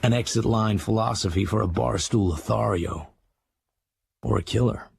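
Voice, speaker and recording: An actor playing a haunted, obsessive middle-aged crime writer, narrating his dark memoir in terse confession with a gravelly, husky American voice. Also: lowish voice, moderate pace, no room sound, studio quality, full character voice. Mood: wry, dark. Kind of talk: scripted first-person crime memoir narration.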